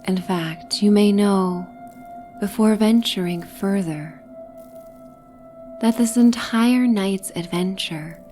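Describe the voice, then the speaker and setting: A woman with a soft, breathy, near-whispered voice gently narrating a soothing guided sleep meditation and bedtime story. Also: mid-range voice, soft background music. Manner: gentle, soothing, hypnotic, with long pauses.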